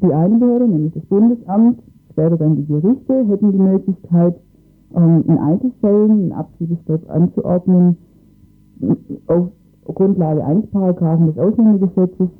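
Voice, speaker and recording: A man's voice: high-pitched, moderate pace, narrow, tinny audio, faint music behind. A man explaining matters in a radio interview, hesitantly searching for his words. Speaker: interviewed expert on asylum cases.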